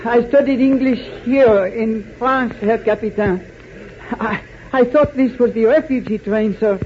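A man's voice: extremely high pitch, measured pace. An eager young hero of a 1940s radio adventure. He is polite and earnest. The vintage recording sounds dull and carries slight noise. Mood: pleading, meek.

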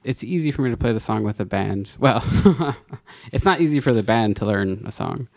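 A man's voice: mid-range voice, animated, normal speed, no room sound, studio quality. A middle-aged man, a singer and guitarist, talking thoughtfully about his song in a casual interview.

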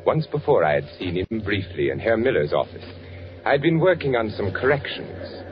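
Low-voiced, brooding trapped undercover man. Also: sing-song, normal speed, music in the background. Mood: tense, brooding. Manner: brooding, intense first-person confiding with dramatic repetition. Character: agitated, trapped male architect working undercover in Nazi Germany. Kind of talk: 1940s radio thriller acting. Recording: muffled vintage 1940s radio recording.

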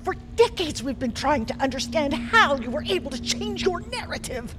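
A woman's voice: high-pitched, normal speed. A woman voicing an imperious, cruel queen who is scornful and prone to explosive outbursts. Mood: furious. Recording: steady background noise.

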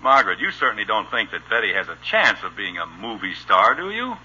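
An American man playing an exasperated, sarcastic middle-aged father in a 1950s radio sitcom, with a dry, gruff, wisecracking manner. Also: lowish voice, sing-song, fairly quick, pushed and bright, highs cut off, exaggerated voice. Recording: noisy, band-limited old radio recording.

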